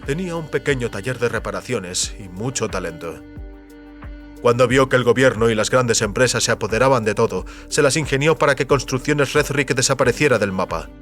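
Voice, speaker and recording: A man plays the solemn patriarch of a secret family company in an audio drama. He is idealistic and speaks in grave, deliberate speeches at a medium male pitch. Soft music plays behind him. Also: natural voice. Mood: solemn, proud.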